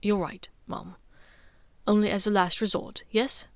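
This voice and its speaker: A woman voicing an earnest, stubborn young adult wizard son in an audiobook, quiet, hesitant and reasoning. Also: medium pitch, steady tone, measured pace, no room sound, clean studio sound, close to an everyday voice. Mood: persuasive.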